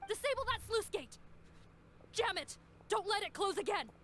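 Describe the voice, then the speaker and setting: A woman's voice: very high-pitched, lively intonation, normal speed, noisy background. A woman voicing a dutiful female guard officer in a video game, formal and earnest. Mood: urgent.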